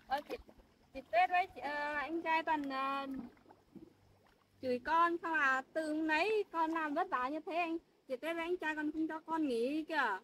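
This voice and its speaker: A woman's voice: high voice, moderate pace, a bit bright, a little hiss or hum, rough recording. A woman playing a cheeky young woman who whines and tattles to her mother. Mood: whiny. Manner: whiny, tattling.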